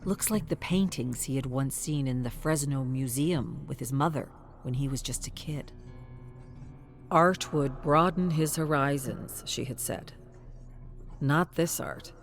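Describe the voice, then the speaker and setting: A woman with a very low voice delivers scripted story narration. She reads as a narrator, with soft music underneath. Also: unhurried.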